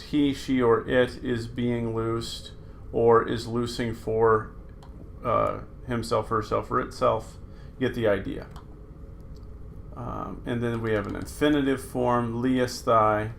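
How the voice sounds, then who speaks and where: A man teaching Greek grammar at a slow, deliberate pace, pausing often with fillers. His voice has a gravelly, creaky edge. A faint hiss of noise sits under the recording. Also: medium pitch, a bit bright. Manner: unhurried, talking through slides with fillers. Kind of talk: recorded screen lesson.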